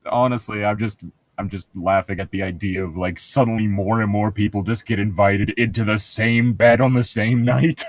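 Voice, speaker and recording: A man's voice: lowish voice, fairly even intonation, moderate pace, no room sound. A man doing casual, mocking read-along commentary as a show host, jokey and profane as he rambles. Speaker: host of a comedic book-reading show.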